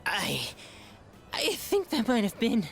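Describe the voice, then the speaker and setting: An actor voicing a gluttonous, self-important high-school boy in a video game, in a bombastic, theatrical manner. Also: huge pitch swings, takes it slowly, vocal fry, soft background music, full character voice. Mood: dreamy, hungry. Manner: bombastic, dramatic swings between squeals and low asides. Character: gluttonous, pompous, theatrical male high-school student, self-styled gourmet.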